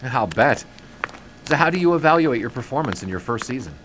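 An actor playing an affable, wry American newspaper sports writer of the 1920s in a period audio drama, with jaunty, wordy phrasing. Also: male voice, mid-range voice, big pitch swings, brisk, background noise, lightly acted. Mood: teasing, curious.

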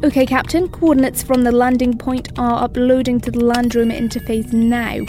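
A woman acting as a scientist astronaut with a fairly high, mellow voice. She is precise and gentle, in a sci-fi radio drama with faint music underneath. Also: level tone, fairly quick, natural voice. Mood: calm, caring. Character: precise, caring London female scientist astronaut. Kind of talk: sci-fi audio drama dialogue.

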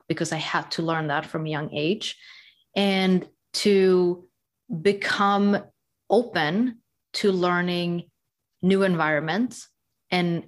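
A woman from Sweden speaks as an interview guest in a lowish voice. She tells her story thoughtfully and evenly, taking her time over each point. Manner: reflective, measured storytelling. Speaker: podcast guest. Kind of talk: conversational interview.

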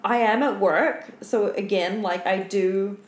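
An echoey room carries the voice of a tired woman in casual podcast chat. She is playful and giggly, swears freely, and speaks at an average female pitch as she tells stories to her friend. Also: unhurried. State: tired after a night without sleep. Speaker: podcast co-host. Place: indoor room at home.

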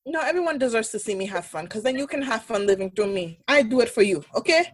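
A woman's voice: on the high side, lively intonation, no echo. A young woman co-hosting a podcast, talking openly in casual chat and running her thoughts together. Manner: open, candid, talks in quick run-on bursts. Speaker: podcast co-host.

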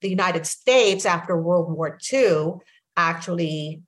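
A woman in her sixties with a Filipino accent, whose first language is Filipino, hosting a podcast and telling her story in a reflective, unhurried way. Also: on the low side. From Philippines. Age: 60s. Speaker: podcast host. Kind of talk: casual conversation.

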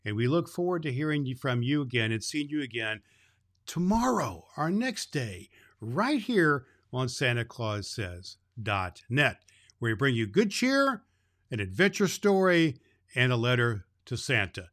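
A man's voice: medium pitch, big pitch swings, a little slow, fairly bright tone. A man playing a kindly old Santa Claus who hosts a children's Christmas show, speaking cheerfully and emphatically in a folksy, fatherly way. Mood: warm, encouraging. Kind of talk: storytelling and chat addressed to children.

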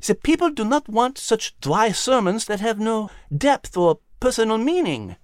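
Lively French animal lady. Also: on the high side, unhurried, no echo, studio quality. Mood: thoughtful, explaining. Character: Liz, elegant, thoughtful female French animal teacher. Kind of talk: audiobook storybook character voice.

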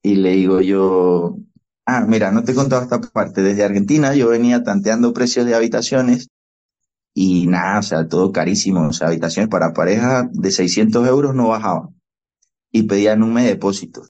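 A young Venezuelan man, a podcast guest, telling his story with animated, reenacted dialogue. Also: fairly low pitch, fairly quick. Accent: Venezuelan Spanish. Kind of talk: storytelling interview. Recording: dull, lo-fi podcast audio.

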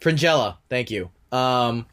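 A man's voice: mid-range voice, expressive, unhurried, fairly bright tone. Stuffy man casually recapping a show. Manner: rambling, recounts scenes in long run-on stretches. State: stuffy nose. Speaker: podcast co-host.

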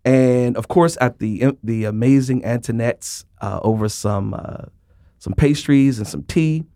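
A man's voice: mid-range voice, unhurried, warm tone, no room sound, studio quality. A man hosting an interview, drawing out his guest's story with encouraging, affirming prompts. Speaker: podcast host. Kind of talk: conversational interview.

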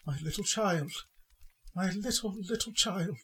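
A man playing a gentle, grieving Victorian father in a radio play, speaking tenderly and earnestly in a husky voice. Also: high-pitched, a little slow, exaggerated voice. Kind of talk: sentimental radio play acting.

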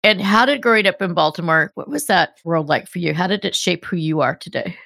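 A woman hosting a podcast, reading a scripted introduction in a measured, announcer-like way and interviewing a guest. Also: lowish voice, lively intonation, creaky, a bit bright, slight background noise. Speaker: podcast host.

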